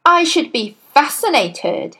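A woman voicing a sarcastic, bullying headmistress who barks and sneers loudly. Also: on the high side, big pitch swings, normal speed. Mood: scornful. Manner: barking, sneering, loud.

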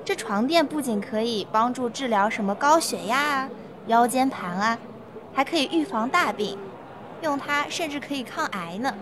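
A woman acts as a smooth-talking saleswoman in a radio drama, her voice notably high. She coaxes and pushes like someone working a room of customers. Clear noise sits behind her. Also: expressive, moderate pace, lightly acted. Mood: persuasive. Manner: ingratiating, persuasive sales patter. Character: smooth-talking, pushy female health-product saleswoman pitching magnetic mattresses. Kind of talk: amateur legal-education radio play.

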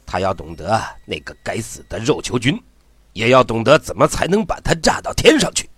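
Commanding army general, swinging intonation. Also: male voice, lowish voice, wildly swooping pitch, fairly quick, a bit bright, dry recording, full character voice. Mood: stern, firm. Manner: commanding, gruff-talking military instructor. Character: hard-bitten male army general training a recruit. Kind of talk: audio drama acting.

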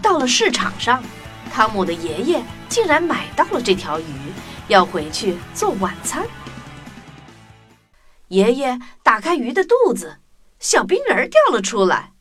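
Female storyteller for young children: fairly high, very lively intonation, direct address, prominent music underneath. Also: a little slow. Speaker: children's story host and narrator. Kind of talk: expressive scripted storytelling for children.

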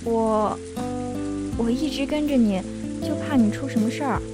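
A woman acts out a tender young woman in a radio play. Her voice is fairly high, with a gentle, hesitant, caring manner. Loud music runs behind her. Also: normal speed, close to an everyday voice. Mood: caring, concerned. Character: tender, selfless young woman hiding unrequited love. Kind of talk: Mandarin audio drama acting.

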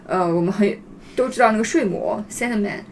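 A woman's voice: medium pitch, a little fast, crowd noise. A woman chatting casually in a group podcast as a host, explaining things and putting questions to the others.

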